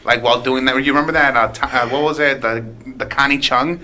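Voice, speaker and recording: Bright-voiced rambling guy in his late twenties. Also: mid-range voice, fairly even intonation, fast, slight background noise. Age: late 20s. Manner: rambling, profane, many restarts. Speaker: podcast guest, aspiring comedian. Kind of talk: casual storytelling chat.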